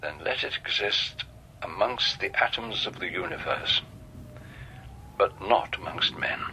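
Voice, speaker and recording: An actor playing a stern, righteous cardinal in a radio drama, solemn and declamatory. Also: male voice, lowish voice, a little slow, sharp tone, low-quality recording. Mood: righteous, dismissive. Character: stern, dogmatic male cardinal, righteous and unbending. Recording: band-limited radio sound with background noise.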